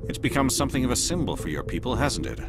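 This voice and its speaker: An actor playing a calm, watchful alien elite agent in a video game cutscene, speaking in a measured, formal, probing way. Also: male voice, mid-range voice, gravelly, music in the background.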